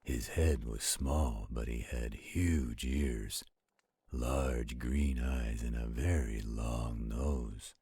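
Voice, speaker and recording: A man playing a gentle, protective werewolf in a roleplay audio, telling bedtime stories in a slow, intimate, caring way. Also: no echo, full character voice. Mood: soothing, storytelling. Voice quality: very deep, husky, gravelly growl. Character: gentle protective male werewolf, soothing storyteller to his partner.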